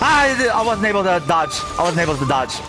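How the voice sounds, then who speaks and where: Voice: medium pitch, very animated, brisk. A game streamer giving casual, playful live commentary, reacting dramatically and muttering along. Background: game music.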